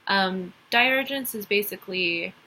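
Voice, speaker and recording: A woman's voice: mid-range voice, unhurried, bright, forceful tone, soft background music, low-quality recording. A young woman from Dallas with a light Texas accent, giving a casual class presentation and rambling through it with lots of ums and restarts. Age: young adult. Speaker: college student.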